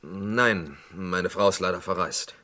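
Low-voiced, defensive accused man, roomy sound. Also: takes it slowly, pushed and bright, heavily acted. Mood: evasive, uneasy. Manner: formal, hesitant, protesting. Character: accused male lab worker, defensive and evasive. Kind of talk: vintage German radio crime drama acting. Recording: roomy old radio play recording.

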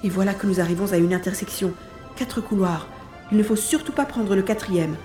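A woman's voice: medium pitch, a little fast, dark tone, natural voice. A woman acts a young boy on a rescue mission in an audio drama. He is determined and thinks aloud, describing each move. The voice sits in a roomy echo with music around it. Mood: alarmed. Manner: thinks aloud, narrating own actions.

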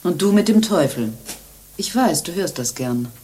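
An actress in a German radio play playing a proud, scornful young nun who speaks emphatically. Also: female voice, lowish voice, expressive, normal speed, warm tone, slight background noise, lightly acted. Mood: resolute, cold. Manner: emphatic, haughty, declamatory.

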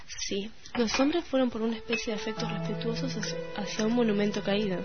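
A woman's voice: a little high, steady tone, brisk, lightly acted. A woman playing a knowing, scornful townswoman with an Argentine accent, gossiping conversationally in a radio play. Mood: scornful, gossipy. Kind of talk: radio play literary narration. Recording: dull radio recording with music behind. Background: music.

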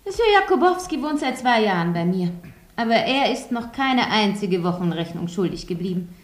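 A woman's voice: mid-range voice, sing-song, moderate pace, close to an everyday voice. An actress playing a commanding, grandiose and sentimental French landlady in a German radio drama with roomy sound. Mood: fussy, fond. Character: bossy, theatrical French landlady, sentimental about her lodgers.

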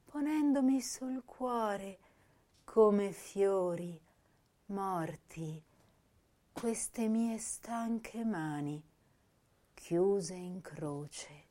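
An actress playing an intense, sensitive young woman poet, declaiming verse in a measured, lyrical way for a radio drama. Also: mid-range voice, animated, dark tone. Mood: melancholy longing. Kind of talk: dramatic poetry recital in a stage-style radio drama.

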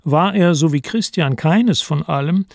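An actor as a sober chronicler, giving composed, factual, literary narration in a radio play. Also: male voice, fairly high pitch, measured pace, gravelly, no room sound, studio quality. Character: sober male chronicler of royal history. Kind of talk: scripted narration.

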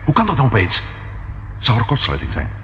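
An actor playing a detective's excitable, grumbling companion in a Dutch radio play, expressive and easily startled. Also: male voice, mid-range voice, fairly quick, highs cut off. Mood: nervous, panicky. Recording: noisy old radio drama recording.

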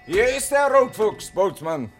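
An actor playing an eager, obedient young deckhand in a 1960s German radio drama, giving loud, clipped replies. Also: male voice, sits high, sing-song, a little slow, soft background music, full character voice.

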